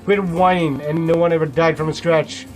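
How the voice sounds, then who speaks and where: A man doing a gruff, fierce old grandmother. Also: on the high side, fairly even intonation, moderate pace, darkish tone, faint music behind, close to an everyday voice. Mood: angry gruff. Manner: growling, coarse, blunt.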